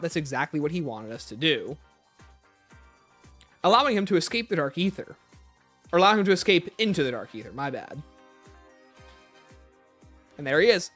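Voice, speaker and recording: A man's voice: sits high, fairly bright tone. A man hosting a livestream, explaining and answering viewer questions off the cuff. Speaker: livestream host.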